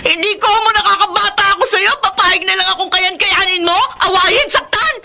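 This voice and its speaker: A woman's voice: very high voice, moderate pace, gravelly, background noise. A woman playing an aggrieved, defiant younger sister in a Filipino radio drama, with an emotional, melodramatic delivery.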